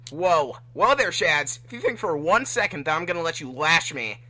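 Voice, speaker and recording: A brash young cartoon hedgehog, played by a man. He grumbles casually in a fairly high, bright voice with a whiny edge and lively swings of pitch. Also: moderate pace. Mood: alarmed, defiant. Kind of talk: amateur fan fiction script reading.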